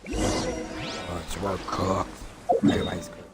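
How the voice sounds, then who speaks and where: A woman's voice: a bass voice, flat delivery, slow pace, soft background music. A woman streaming a game, giving casual, offhand reaction commentary.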